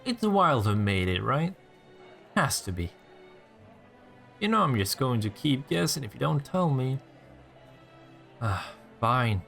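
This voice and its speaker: Man acting a sly, creaky-voiced teasing vampire. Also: medium pitch, big pitch swings, slow, long pauses, a bit bright, soft background music, exaggerated voice. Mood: sly, conspiratorial. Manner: sardonic, taunting, playful. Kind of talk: roleplay audio drama, intimate one-sided dialogue.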